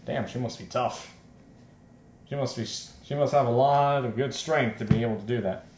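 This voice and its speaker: A man's voice: medium pitch, moderate pace. Echoey male let's player reading aloud. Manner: exclaims loudly and repeats himself in reaction. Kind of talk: reading a visual novel aloud with joking reactions. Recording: roomy echo, faint background noise.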